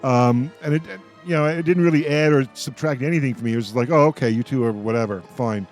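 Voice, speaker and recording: A man hosting a casual radio roundtable, giving opinions in a halting way and repeating words as he goes. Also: mid-range voice, faint music behind. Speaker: roundtable host on a radio show. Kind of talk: casual panel discussion.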